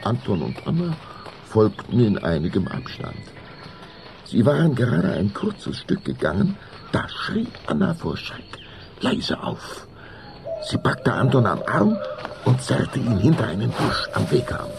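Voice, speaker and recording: A man narrating a scripted German children's radio play as its storyteller, with a gravelly voice. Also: mid-range voice, wildly swooping pitch, unhurried, a little hiss or hum.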